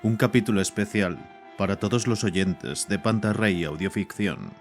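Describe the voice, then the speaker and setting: Deep-voiced man narrating horror fiction. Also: normal speed. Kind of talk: scripted horror story narration. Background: faint music.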